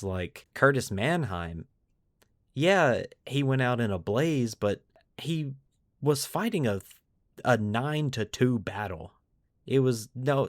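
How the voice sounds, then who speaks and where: A man's voice: mid-range voice, dry recording, studio quality. A man hosting a podcast, moving between storytelling narration and casual discussion. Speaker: podcast host.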